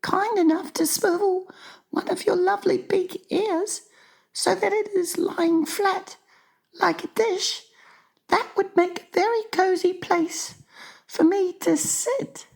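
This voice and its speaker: Man in falsetto as a small young girl, extremely high pitch, slow careful delivery, polite, plucky, prim, children's storybook. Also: fairly even intonation, gravelly, exaggerated voice. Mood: prim, determined. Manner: prim, polite, well-formed speech, drawn-out. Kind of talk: dramatized storybook reading.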